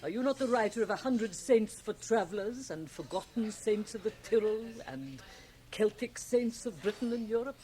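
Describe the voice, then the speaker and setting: A woman's voice: medium pitch, lively intonation, dark tone, close to an everyday voice. An actress playing an imperious, shrewd woman who runs a company, formal and persuasive in a radio drama. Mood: flattering. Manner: formal, deliberate, coaxing. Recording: hissy 1980 radio cassette transfer.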